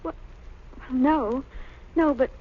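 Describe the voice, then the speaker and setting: A lo-fi 1930s radio recording of a young lady reporter with a high voice. She is earnest and anxious, eager yet hesitant, in old radio drama style. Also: slow, husky, full character voice. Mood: pleading, careful.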